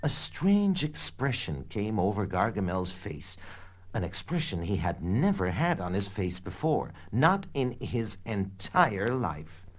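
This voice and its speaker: A man narrating a scripted children's read-along story in an expressive, dramatic storytelling manner. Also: lowish voice, measured pace. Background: background music.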